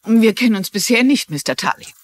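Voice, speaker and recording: A woman's voice: fairly high pitch, lively intonation, fairly quick, no echo. A woman playing a polite but persistent older amateur sleuth in a dubbed TV crime drama. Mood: politely insistent. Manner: courteous, insistent.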